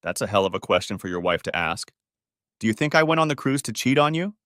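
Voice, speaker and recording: A man's voice: fairly low pitch, very animated, fast talker, fairly bright tone, dry recording, clean studio sound. A man voicing an agitated, defensive wife in a dramatic story reading. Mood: indignant. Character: Carol, agitated defensive wife in a troubled marriage. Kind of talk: audiobook-style dramatic reading of dialogue.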